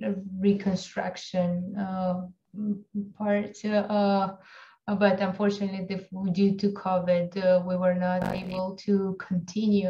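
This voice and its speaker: A woman's voice: medium pitch, warm tone. A woman speaking up in an online seminar discussion, hesitant with many fillers, over a video call. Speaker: seminar participant. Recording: roomy dull video call.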